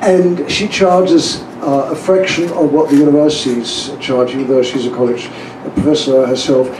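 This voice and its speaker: A man's voice: mid-range voice, steady tone, moderate pace, darkish tone. A man from outside the United States giving an informal, emphatic testimonial to an audience in short, hesitant phrases. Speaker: workshop student praising the host. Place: echoey room at a live event. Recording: echoey, distant mic with clear noise.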